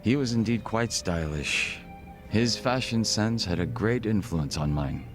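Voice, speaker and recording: A man voicing a refined, reserved gangster in a video game cutscene, speaking in formal, measured, polite phrases. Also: fairly low pitch, lively intonation, over music. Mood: courteous, calm. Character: refined, reserved Korean gangster, a former body double.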